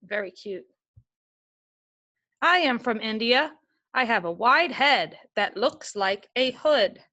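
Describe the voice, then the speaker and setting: Woman teacher talking slow to little kids, fairly high bright voice, patient step by step. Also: lively intonation, dull audio. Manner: patient, step-by-step instructions to young children. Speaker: elementary school teacher. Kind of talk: remote class lesson, reading a picture book aloud.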